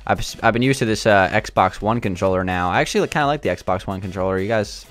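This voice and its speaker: A young man with a slightly raspy, sore throat, thinking aloud with lots of fillers as he builds in a game. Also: on the low side, fast, a bit bright, faint music behind. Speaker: gaming channel host. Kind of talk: casual gameplay commentary.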